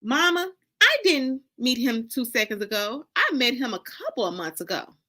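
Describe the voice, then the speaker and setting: A woman's voice: a little high, big pitch swings, moderate pace, bright, forceful tone, heavily acted. A woman voicing a defiant young woman who protests defensively to her mother in a dramatic book reading.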